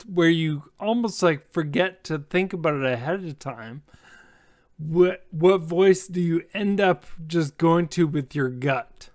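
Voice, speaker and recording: A man leads a relaxed panel discussion among game masters. His voice is pitched fairly high, and he chats casually as host. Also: unhurried. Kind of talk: casual roundtable chat.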